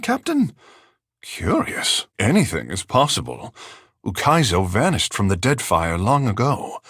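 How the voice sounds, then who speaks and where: Vain, theatrical young charmer, husky and lively. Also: male voice, medium pitch, no room sound, studio quality, full character voice. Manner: theatrical, playfully pompous, flowery formal phrasing. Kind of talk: video game character dialogue and barks.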